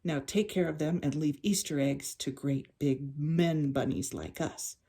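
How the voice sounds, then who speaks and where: Woman playing smug, husky big boy rabbit. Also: deep voice, a little slow, dark tone, voice pushed down, exaggerated voice. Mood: scornful. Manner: scornful, boastful.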